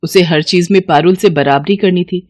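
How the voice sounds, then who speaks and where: A woman's voice: lowish voice, normal speed, darkish tone, no echo. A woman reading scripted moral-story narration as the storyteller.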